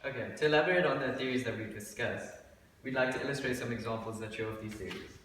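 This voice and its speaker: A man's voice: mid-range voice, quick pace, fairly bright tone. A young man giving a class presentation in a dim lecture room, talking with hesitant fillers and restarts. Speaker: student presenter. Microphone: far room mic. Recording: echoey room from far off.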